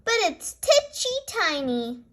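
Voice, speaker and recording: A woman's voice: very high-pitched, sing-song, takes it slowly, a bit bright, exaggerated voice. A woman voicing a little girl lamb in a children's storybook, earnest, impatient and childlike.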